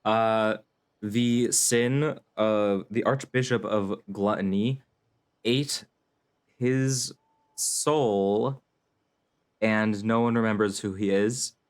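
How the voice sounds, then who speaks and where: A man streaming a game with casual, wry, deadpan commentary. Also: lowish voice, extremely slow, pauses often, clean tone. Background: faint game music.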